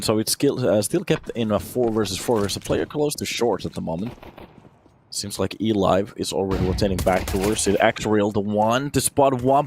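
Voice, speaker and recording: A man in his thirties, raised in Finland, giving lively solo play-by-play on a shooter match and chatting with viewers between rounds. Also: lowish voice, noisy background. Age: 30s. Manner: energetic, chatty, answers viewer questions between plays. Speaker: esports caster. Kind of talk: solo play-by-play commentary with chat replies.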